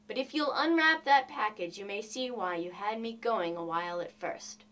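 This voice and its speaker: A woman's voice: mid-range voice, moderate pace, a bit bright, lightly acted. A woman voicing an affectionate, easygoing young husband in a storybook reading, plain-spoken and emphatic. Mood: reassuring. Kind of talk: storybook character dialogue.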